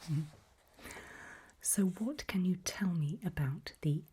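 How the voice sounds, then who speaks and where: Slow, whispering woman playing a reassuring doctor. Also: fairly low pitch, mellow, exaggerated voice. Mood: gentle, reassuring. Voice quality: breathy whisper. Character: calm reassuring female doctor running a creepy memory test. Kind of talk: ASMR roleplay, whispered.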